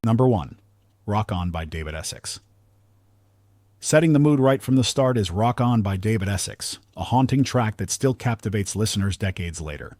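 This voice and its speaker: A man narrates a scripted countdown in a fairly low voice. His delivery is polished and measured, like a documentary storyteller. The sound is dry and close, recorded as a voice-over. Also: expressive, darkish tone, no room sound.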